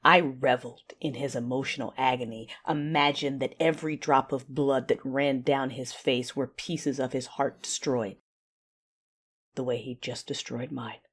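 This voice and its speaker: A woman playing a betrayed, commanding dark-side warrior in an audio drama, narrating in the first person with intense, deliberate menace. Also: rumbling low, steady tone, husky, heavily acted.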